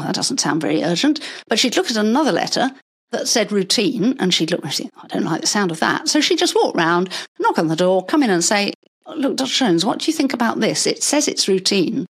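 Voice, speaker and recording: An older woman, a retired paediatrician, talking through her working life in a measured, explanatory way, with stories along the way. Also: mid-range voice, breathy edge. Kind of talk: conversational interview.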